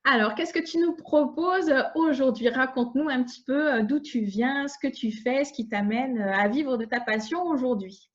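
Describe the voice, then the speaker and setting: A woman's high voice comes through slightly muffled, lo-fi audio. She is the host of an informal interview and speaks in a conversational way as she addresses her guest. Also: normal speed, few pauses.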